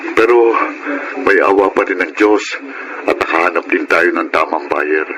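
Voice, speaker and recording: A man's voice: sits low, moderate pace, background noise, natural voice. A man with a Filipino accent playing an earnest, repentant father in a radio drama. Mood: grateful. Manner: earnest, solemn. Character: repentant, reassuring father who squandered the family fortune. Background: loud music.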